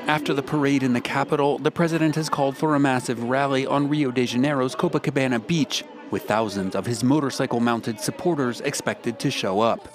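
A male news correspondent narrates a scripted report. His voice sits at a middle pitch, delivered as a formal news piece. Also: steady tone, brisk, few pauses, crowd noise.

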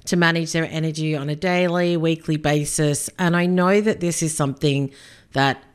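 Australian woman, podcast host, slightly nasal, chatty, lower-pitched voice. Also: fairly even intonation, normal speed, clean tone. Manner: upbeat, chatty, addresses listener directly. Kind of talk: casual solo podcast talk.

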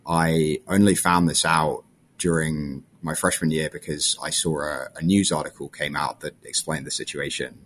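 A young man from London talks through his story in a friendly, thoughtful way, sometimes restarting a phrase. His voice sits very low in pitch. A faint hiss runs under the recording. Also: steady tone, moderate pace, a bit bright. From London, England. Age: 20s. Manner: friendly and reflective, with small restarts. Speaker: guest. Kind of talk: casual interview answers.

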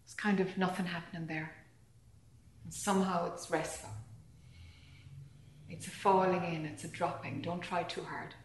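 A woman leading a guided meditation calmly and gently, in short phrases with long pauses between them. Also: fairly low pitch. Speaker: meditation teacher. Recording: echoey room.

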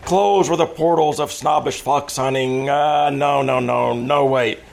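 A man's voice: medium pitch, steady tone, measured pace, vocal fry. A man playing a grandiose, bossy magazine publisher who declaims pompously in 1940s radio style.

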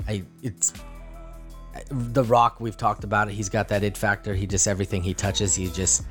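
Deep-voiced man, halting casual chat. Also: little pitch movement, a bit bright, faint music behind. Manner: halting, hesitant, full of restarts. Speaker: podcast co-host. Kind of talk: casual movie review chat.

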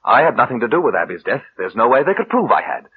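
Husky, bitter man in old radio drama. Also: mid-range voice, big pitch swings, fast. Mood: bitter, accusing. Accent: American. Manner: intense, dramatic. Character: bitter, fearful man, scheming lover turned accuser. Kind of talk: 1940s-50s American radio suspense acting. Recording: muffled vintage radio recording.

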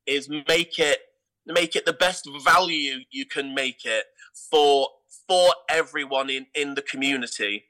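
A middle-aged English man with a very bright, forward voice that sits fairly high. He tells anecdotes as an interview guest, leaning on key words and repeating them for emphasis. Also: poor audio. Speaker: theatre company artistic director, interview guest. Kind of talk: animated anecdotal interview talk.

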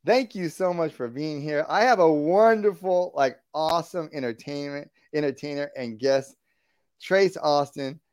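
A man's voice: a little high, a little slow, darkish tone, smooth, no room sound, studio quality. A man hosting a podcast interview, enthusiastic and rambling, restarting his sentences. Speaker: podcast host. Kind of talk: casual interview.

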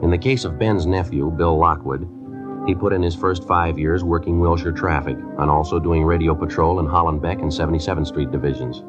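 Very deep, deadpan actor as police detective. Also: male voice, fairly quick, dull audio, close to an everyday voice. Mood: matter-of-fact narration. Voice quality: flat, terse delivery. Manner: deadpan, businesslike. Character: terse, matter-of-fact male police detective sergeant. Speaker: narrator and lead actor of a police series. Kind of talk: 1950s radio drama acting, scripted narration. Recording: 1950s radio recording with music.

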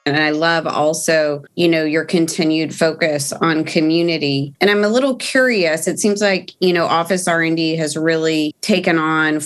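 Woman, podcast host, very low voice, enthusiastic, casual chat, soft music underneath. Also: fairly even intonation, moderate pace, few pauses.

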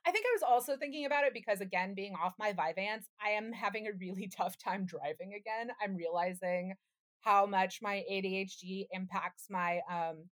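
A woman's voice: mid-range voice, normal speed, fairly bright tone. A woman co-hosting a podcast in casual, slangy chat, irreverent and freely swearing. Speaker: podcast co-host.

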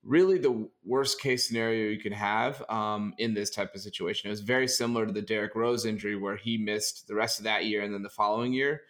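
A young man co-hosting a casual sports podcast, hoarse and raspy from a sinus infection, talking in a relaxed, jokey way. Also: on the low side, fairly quick, few pauses. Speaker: co-host.

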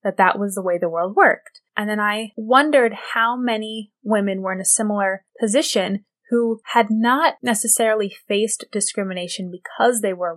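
A soft-spoken woman delivering a solo opinion commentary in a measured, reflective way. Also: medium pitch.

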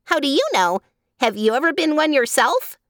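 A mischievous male monkey from a children's tale, put on by a woman reader. He chatters playfully in a high-pitched voice and loves to tease. The recording is dry. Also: sing-song, moderate pace, dry recording. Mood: gleeful, teasing. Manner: chattering, teasing. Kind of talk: children's storybook character reading.

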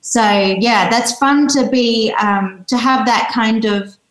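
A woman in her forties with an Australian accent, chatting as an interview guest and pausing often with fillers and restarts. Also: on the high side, roomy sound, low-quality recording. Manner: warm, hesitant, many fillers and restarts. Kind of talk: casual interview chat.

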